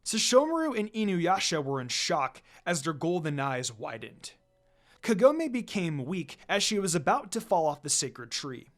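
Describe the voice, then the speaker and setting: An amateur story reader narrates dramatically. The delivery has a mid-range pitch that rises and falls widely. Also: fairly bright tone.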